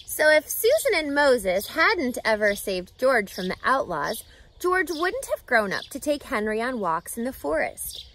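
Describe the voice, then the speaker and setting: A woman in her thirties reading a children's book aloud outdoors, with an expressive, teacherly delivery that emphasises key words. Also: high voice, a little slow. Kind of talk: animated children's picture-book read-aloud. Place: outdoors, grassy lakeside yard. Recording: outdoor ambient background noise.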